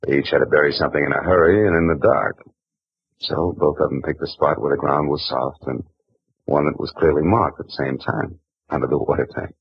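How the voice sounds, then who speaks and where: An actor playing a world-weary private detective, narrating laconically in a 1950s radio drama. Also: male voice, a bass voice, fairly even intonation, a little fast, slightly rough, dry recording, exaggerated voice. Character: hard-boiled male private eye, world-weary, wry. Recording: dull old radio recording.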